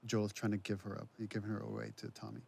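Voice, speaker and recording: A man's voice: fairly low pitch, monotone, quick pace, vocal fry, darkish tone, slightly rough. A man giving casual, analytical commentary as a writer and director.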